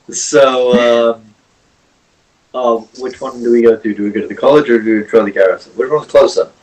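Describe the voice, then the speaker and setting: A man's voice: mid-range voice, fairly even intonation, moderate pace, long pauses, a little mellow, low-quality recording. A man playing in a tabletop game, chatting casually and often stammering and repeating words. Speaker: player.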